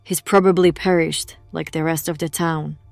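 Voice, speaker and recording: A woman's voice: deep voice, steady tone, moderate pace, vocal fry, darkish tone, no echo, studio quality. A woman playing a grave, knowing investigator of the occult who explains things in formal words in an audio drama. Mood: grave, ominous.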